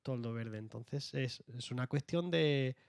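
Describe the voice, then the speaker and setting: A man's voice: mid-range voice, a little slow, vocal fry, a little mellow, clear, resonant tone, no echo, clean studio sound. A young man from Jaén with an Andalusian accent, speaking as an author on a panel, hesitant with many fillers and restarts. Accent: Andalusian Spanish. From Jaén, Spain. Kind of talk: round-table discussion.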